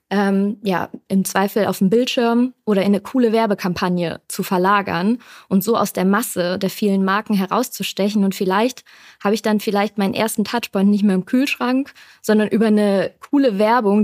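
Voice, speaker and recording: A woman's voice: medium pitch, darkish tone, no room sound, clean studio sound. A woman from western Germany, explaining things conversationally as an interview guest. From Düsseldorf, North Rhine-Westphalia, Germany. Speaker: brand manager, podcast guest.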